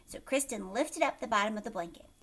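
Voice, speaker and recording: A woman's voice: fairly high pitch, lively intonation, fast, a bit bright. A woman hosting a children's read-aloud, narrating a storybook expressively and breaking off to question her young listeners in an emphatic way.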